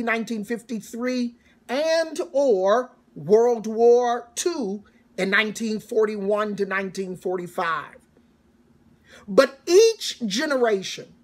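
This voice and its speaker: A middle-aged man giving a sermon-like commencement address as a church elder, emphatic and deliberate, with repeated phrases and dramatic pauses. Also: very high-pitched. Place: small home study, indoors.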